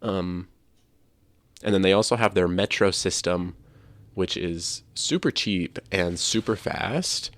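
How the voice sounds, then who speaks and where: A low-pitched man with a congested, stuffy sound and a creaky edge. He talks casually as a podcast co-host, recorded close and dry. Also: takes it slowly, fairly bright tone, no room sound. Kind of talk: casual chat.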